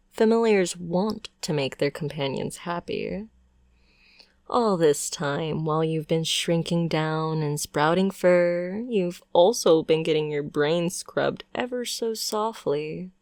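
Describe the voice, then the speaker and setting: A sweetly possessive witch, played by a woman, coaxes and condescends with pet names in an audio roleplay. Her voice sits low and carries a gravelly fry, and she talks very slowly. The sound is dry and close. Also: a little mellow, dry recording. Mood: soothing. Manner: coaxing, condescending endearments.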